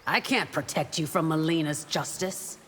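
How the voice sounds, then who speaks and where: A woman's voice: low voice, moderate pace, natural voice. An actress playing a loyal, solemn, steely royal guard warrior woman in a fantasy fighting game, formal and resolute. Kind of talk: video game fighter intro dialogue. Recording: echoing hall, clear background noise.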